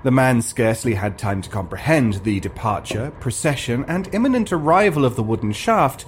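A man narrating a scripted comic fantasy story in a dry, deliberate way. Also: medium pitch, animated, a little hiss or hum.

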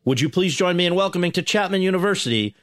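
A man hosting a podcast interview in front of a student audience, reading a formal introduction in a measured way. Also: high voice, animated, a little hiss or hum. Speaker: podcast host. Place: university venue with audience.

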